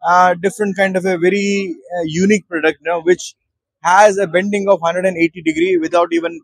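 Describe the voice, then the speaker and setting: Indian male exec, 30s, husky higher voice, hesitant product walkthrough at a busy expo stand. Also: steady tone, fairly quick, no echo, poor audio. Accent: Indian English. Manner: hesitant, with many fillers and restarts. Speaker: managing director at a trade show. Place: busy exhibition hall stall.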